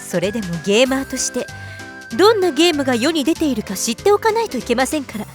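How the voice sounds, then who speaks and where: Woman playing a prim, high-voiced gamer schoolgirl. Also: quick pace, soft background music. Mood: matter-of-fact. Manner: polite formal speech, deadpan, slightly haughty. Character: prim, proud, serious teenage gamer schoolgirl. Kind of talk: Japanese anime-style voice drama acting.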